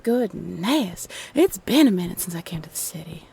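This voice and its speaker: A woman playing a playfully affectionate, teasing love spirit who guides unseen, with a purring, drawn-out delivery in a roleplay audio drama. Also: fairly low pitch, big pitch swings, vocal fry, dark tone. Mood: dreamy, delighted.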